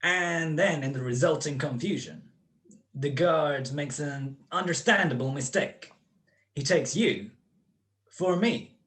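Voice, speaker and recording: A man's voice: on the high side, takes it slowly, a bit bright. A man acting a smug, cold-blooded criminal mastermind in a radio play, with precise, mocking, grandiloquent speech. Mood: sly, smooth.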